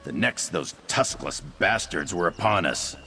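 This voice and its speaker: A man's voice: deep voice, animated, measured pace, vocal fry, sharp tone, soft background music, full character voice. A man voicing an anxious, self-doubting young cat-man trader in a fantasy video game, fretful and hesitant. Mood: troubled, recounting.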